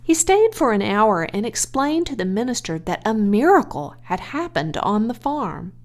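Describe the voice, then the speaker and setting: A woman narrating a children's audiobook, reading scripted prose in an expressive, clearly phrased way. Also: fairly high pitch, normal speed.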